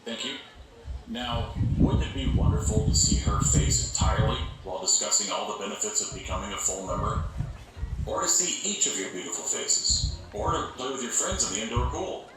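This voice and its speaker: A man's voice: on the low side, lively intonation, normal speed, close to an everyday voice. A man playing a genial, showy organization leader giving an upbeat, folksy speech to members in an audio drama. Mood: upbeat, rallying. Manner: upbeat, folksy public speaker, salesy. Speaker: community engagement officer giving announcements. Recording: very echoey public-address sound with clear noise.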